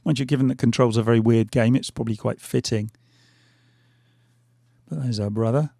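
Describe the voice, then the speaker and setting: A man's voice: mid-range voice, fairly even intonation, fairly quick, gravelly, warm tone, no echo, clean studio sound. A middle-aged man losing his voice, rambling through casual gameplay commentary with lots of fillers and restarts. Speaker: gaming channel host. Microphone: headphones on, desk mic.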